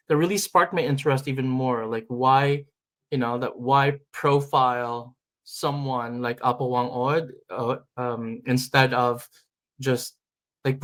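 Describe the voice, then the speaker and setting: A man from the Philippines, a filmmaker answering interview questions in a reflective way with many fillers and restarts. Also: mid-range voice. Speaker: filmmaker guest.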